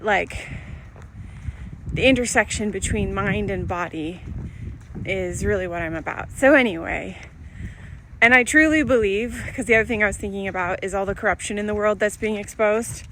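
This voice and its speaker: Woman vlogger on a walk, phone mic, earnest free-flowing chat over steady street noise. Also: medium pitch, poor audio. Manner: rambling, earnest, heavy use of "like". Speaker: lifestyle vlogger. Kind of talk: casual walk-and-talk monologue. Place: walking outdoors near traffic.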